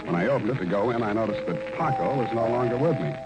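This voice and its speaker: Hard-boiled radio detective male actor: American, medium pitch, wry, terse, tough, vintage broadcast sound with prominent music. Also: animated, fast talker, lightly acted, poor audio. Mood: wry narrating. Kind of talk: hard-boiled radio drama narration and dialogue. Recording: old radio recording, loud music bed.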